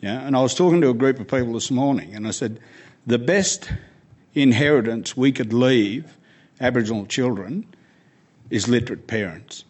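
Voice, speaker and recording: Man, guest speaker in Q&A, earnest, emphatic, middle pitch. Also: moderate pace, darkish tone. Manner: earnest, emphatic, repeats words when starting. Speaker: guest speaker answering questions. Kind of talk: panel Q&A answers.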